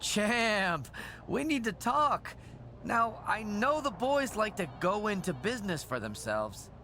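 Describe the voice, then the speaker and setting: A man's voice: sits high, very animated, slow pace, pushed and bright, a little hiss or hum, full character voice. An actor playing an officious, pompous male assistant in a video game, scolding on the boss's behalf. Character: officious, nagging assistant of a show boss. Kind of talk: video game cutscene dialogue.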